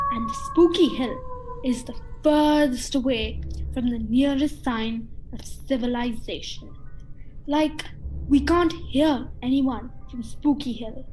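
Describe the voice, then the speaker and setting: Woman as grand countess, high voice, very slow delivery, theatrical, ominously hospitable, spooky radio drama. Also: darkish tone, rough recording. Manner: stately, theatrical, deliberate. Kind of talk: student radio play acting.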